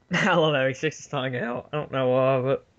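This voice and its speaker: A man's voice: mid-range voice, moderate pace. A man chatting casually as co-host of a fan movie commentary, rambling in a laid-back way. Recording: dull lo-fi home recording.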